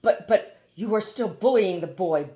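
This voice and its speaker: A woman's voice: mid-range voice, expressive, a little slow, dark tone, muffled recording, lightly acted. A woman voicing an indignant talking hoopoe bird that gives officious, emphatic orders in a storybook. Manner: emphatic, officious, clipped commands.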